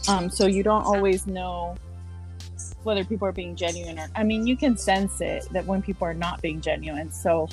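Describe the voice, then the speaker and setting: A young adult woman from San Diego, a social worker talking casually as a podcast guest, with soft laughs and many fillers. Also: lowish voice, steady tone, moderate pace, soft background music, poor audio. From San Diego, California. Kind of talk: casual interview chat.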